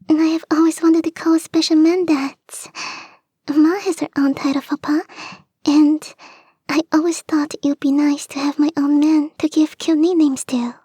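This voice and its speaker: A woman voicing a clingy, lovestruck young kitsune fox girl in a soft, breathy, often whispered ASMR romance roleplay, coy and gushing. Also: high voice, no room sound, clean studio sound. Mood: bashful, hopeful. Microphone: close microphone, dry.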